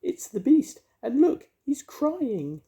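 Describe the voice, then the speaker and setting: A man voicing a fussy, kindly female fairy who wails in high cries. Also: very animated, slow pace, warm tone, exaggerated voice. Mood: fussing.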